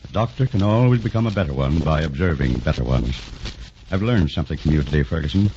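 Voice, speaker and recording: An actor playing a grave, gracious senior doctor in a 1940s radio drama. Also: male voice, very deep voice, animated, fast talker, warm tone. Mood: humbled, grateful. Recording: dull, noisy 1940s radio recording.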